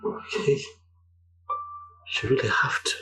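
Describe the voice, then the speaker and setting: A man's voice: slow, over loud music, lightly acted. Guy playing a devoted husband, soothing and begging, takes his time. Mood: pleading. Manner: soothing, pleading, repeats himself gently. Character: patient, devoted husband calming his distraught wife. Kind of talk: film drama acting.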